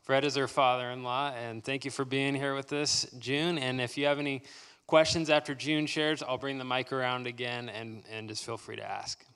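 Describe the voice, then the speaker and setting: A man hosts a seminar and introduces the next speaker in a friendly, informal way. His voice is bright and crisp, with clean, smooth diction. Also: mid-range voice, level tone, normal speed. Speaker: seminar host.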